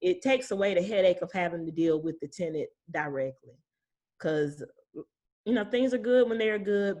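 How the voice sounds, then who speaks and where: A woman with a slightly low voice talks as a property manager guest. She explains things in a folksy, plain-spoken way, drawing on stories from experience and voicing bits of what people say. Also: darkish tone. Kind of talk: conversational expert explanation with anecdotes.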